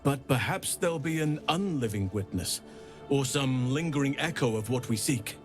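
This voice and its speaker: An actor playing a solemn druid devoted to nature in a video game, speaking in a measured, earnest way. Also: male voice, mid-range voice, expressive, soft background music, close to an everyday voice. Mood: measured, reassuring. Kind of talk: video game character dialogue.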